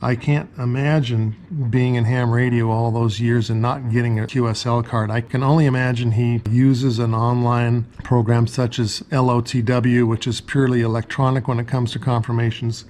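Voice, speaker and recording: A man's voice: medium pitch, darkish tone. A man with a mid-range voice talks through items as a hobbyist presenter. His delivery is an explanatory show-and-tell narration. The recording carries some faint background noise. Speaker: ham radio hobbyist presenter.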